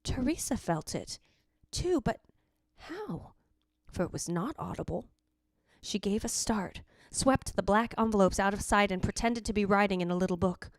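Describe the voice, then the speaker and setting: A woman's voice: mid-range voice, expressive, moderate pace, no echo, lightly acted. A woman playing the yearning, possessive ghost of a dead wife, narrating formally in old-time radio drama style. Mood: solemn. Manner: formal, literary, theatrical delivery.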